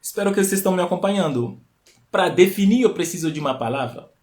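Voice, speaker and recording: A man's voice: high voice, expressive, quick pace, darkish tone. Man teaching on a live stream. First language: not Portuguese. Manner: explanatory, repeats key phrases, rising emphatic peaks. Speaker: host of a live stream talk. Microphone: live stream mic.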